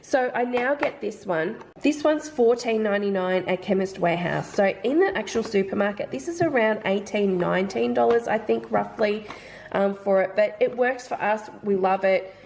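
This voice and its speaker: A woman's voice: mid-range voice, animated, normal speed, gravelly, darkish tone, slight background noise. An Australian woman in her thirties, a mum vlogger chatting casually through a product haul, sounding slightly nasal from hay fever and thinking aloud about prices. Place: home.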